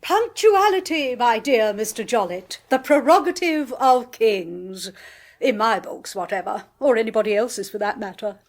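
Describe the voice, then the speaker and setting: An actress playing a bossy, imperious spinster who works as a medium, giving commands in a grand and impatient way in a radio play. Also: female voice, fairly high pitch, lively intonation, moderate pace. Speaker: medium and clairvoyant.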